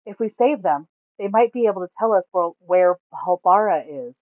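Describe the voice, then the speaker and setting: A woman's voice: mid-range voice, lively intonation, moderate pace, no room sound, phone-quality sound, voice pushed up, lightly acted. A woman voicing a cautious, practical winged female adventurer in a fantasy story, hesitant and correcting herself. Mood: thoughtful.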